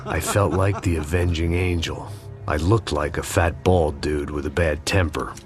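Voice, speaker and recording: Very deep, weary American noir antihero. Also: male voice, normal speed. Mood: grim. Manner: world-weary, sardonic, deadpan. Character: weary, self-loathing, hard-bitten middle-aged ex-cop antihero. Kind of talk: scripted noir voice-over narration. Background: loud music.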